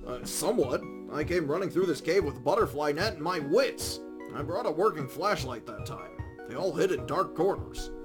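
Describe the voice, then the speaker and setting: A man voicing a nerdy, easily indignant older scientist adventurer in a game, with a gravelly, pedantic delivery. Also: on the high side, expressive, over music, close to an everyday voice. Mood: earnest reminiscing. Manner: pedantic, halting storyteller. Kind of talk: visual novel fan voice acting.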